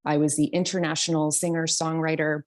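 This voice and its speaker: A woman in her thirties from New York, a singer and voice teacher, telling her story in a relaxed interview with many ums and small laughs. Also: low voice, a little fast. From New York City. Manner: chatty, frequent ums and soft laughs. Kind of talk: casual interview talk.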